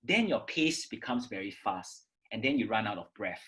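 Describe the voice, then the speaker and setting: A Singaporean teacher giving a reading-aloud lesson, enunciating words deliberately and emphatically and often checking in with the listener. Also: mid-range voice, expressive, fairly bright tone. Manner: instructive, often checking with "okay" and "right". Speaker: English oral exam teacher. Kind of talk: instructional lesson with read-aloud demonstrations.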